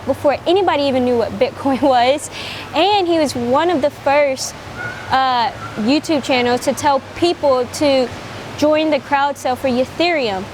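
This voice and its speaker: Interview host, woman, high bright voice, upbeat, steady background noise. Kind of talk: casual interview.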